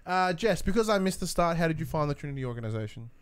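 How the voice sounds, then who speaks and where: A man's voice: fairly high pitch, expressive, rapid-fire, clear, resonant tone. A man co-hosting a casual podcast chat, putting questions to his colleague. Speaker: podcast co-host reading listener questions.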